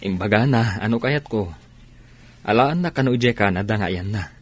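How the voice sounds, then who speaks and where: An actor playing a humble, hardworking janitor and patient older brother in an audio drama, earnest and modest, with an Ilocano accent. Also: male voice, fairly low pitch, moderate pace, close to an everyday voice. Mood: hopeful, earnest. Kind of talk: dramatized radio-style acting.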